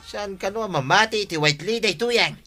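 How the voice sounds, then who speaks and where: A Filipino man playing a stubborn, grumbling village buddy in a radio comedy, emphatic and quick to interject. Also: high-pitched, sharp tone, faint music behind. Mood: insistent. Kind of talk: radio comedy drama acting.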